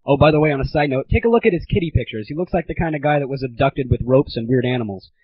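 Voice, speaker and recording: A man's voice: mid-range voice, rapid-fire, slightly rough, no room sound. A teenage man hosting a casual comedy monologue on a gaming audio show, irreverent, crude and mock-grandiose, on a lo-fi recording. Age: teens. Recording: dull lo-fi 1990s internet audio.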